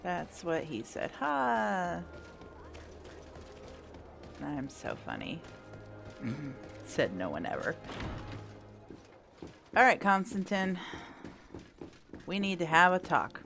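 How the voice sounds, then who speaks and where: Low-voiced woman, lively, unhurried game commentary. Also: gravelly. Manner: wry, self-joking, thinking aloud. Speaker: gaming content creator. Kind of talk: casual playthrough commentary. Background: faint game music.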